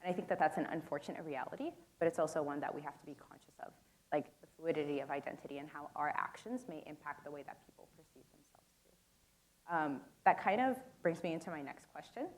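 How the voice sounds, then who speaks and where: A woman's voice: sits low, fast talker, poor audio. A young woman moderating a conference panel in a casual way, filling her talk with "like" and "um.". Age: young adult. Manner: casual, lots of "like" and "um" fillers. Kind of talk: panel discussion. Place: conference room. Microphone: handheld microphone.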